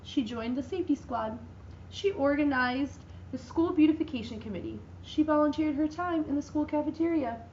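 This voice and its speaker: A high-voiced woman reads a children's picture book aloud with lively expression, lifting into bright voices for the characters. The recording is a little dull and lo-fi, with a faint hiss underneath. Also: normal speed, reverberant. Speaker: reader. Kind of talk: dramatic reading of a children's book.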